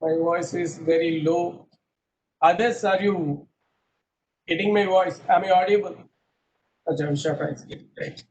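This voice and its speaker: A man's voice: high-pitched, steady tone, unhurried, pauses often, darkish tone, dull audio, a little hiss or hum. A middle-aged man teaching an online class over a video call, quizzing students and repeating himself, mixing English with Hindi. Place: small room indoors. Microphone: laptop webcam on a video call.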